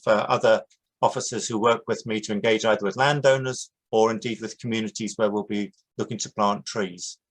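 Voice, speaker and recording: Measured man giving a talk. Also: medium pitch, poor audio. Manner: steady, measured, with hesitations. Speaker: project director. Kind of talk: prepared online talk. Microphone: video call.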